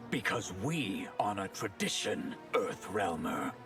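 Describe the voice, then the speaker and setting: A haughty water mage from a fighting game, making formal, grave pronouncements. The voice is very deep and measured. It sits a little way from the mic with music behind. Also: male voice, sing-song. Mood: solemn, proud. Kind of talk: video game fight dialogue. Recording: slightly distant, music behind.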